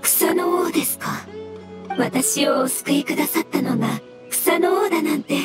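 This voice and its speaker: A woman's voice: high-pitched, moderate pace, lightly acted. A woman voicing a polite, earnest mushroom-like elemental creature in an anime game, speaking in a formal, literal-minded, pedantic way. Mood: grateful, awed. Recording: loud music behind the voice.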